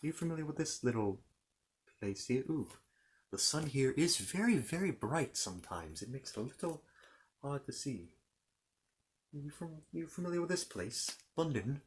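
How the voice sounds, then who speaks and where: Man playing a halting, animated, bumbling knight. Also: mid-range voice, close to an everyday voice. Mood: curious, friendly. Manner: halting, stammering, apologetic, chatty. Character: polite, bumbling, bookish knight from a fantasy kingdom. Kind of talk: improvised ASMR character roleplay.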